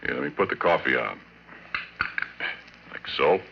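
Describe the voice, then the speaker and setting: A man's voice: a bass voice, expressive, unhurried, gravelly, heavily acted. An actor playing a folksy, easygoing oil rig hand with colloquial working-man talk in an old-time radio horror drama. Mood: easygoing, joking. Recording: dull, noisy old radio recording.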